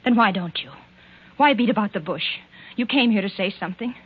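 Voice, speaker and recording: Wounded wife, old radio. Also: female voice, medium pitch, expressive, brisk, slightly rough, dull audio. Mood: bitter, accusing. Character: hurt, suspicious, accusing wife of a straying husband. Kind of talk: 1940s radio drama acting. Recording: band-limited old 1940s radio recording.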